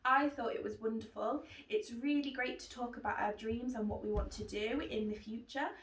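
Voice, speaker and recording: Echoey, higher-voiced woman presenting to children. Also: normal speed, a bit bright, far from the mic. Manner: simple, encouraging talk addressed to children. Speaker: host of children's book club. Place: living room indoors. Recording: roomy echo, away from mic.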